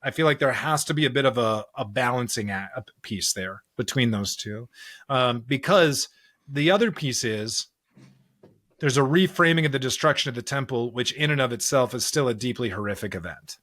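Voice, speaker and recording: A man's voice: mid-range voice, expressive, a bit bright, no echo. American man thinking aloud, close dry sound. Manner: halting, searching, earnest, piling up clauses. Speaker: podcast co-host discussing scripture. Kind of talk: conversational Bible discussion.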